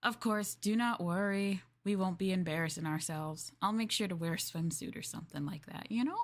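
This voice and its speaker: A woman plays a young female maid in an ASMR roleplay. She is gentle and courteous, with a bashful, hesitant way of talking. She sounds eager to please as she offers her services to her master. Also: mid-range voice, brisk, close to an everyday voice. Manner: soft, polite, hesitant, apologetic, eager to please. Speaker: VTuber streamer playing a maid for live chat. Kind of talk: live roleplay ASMR, improvised chat.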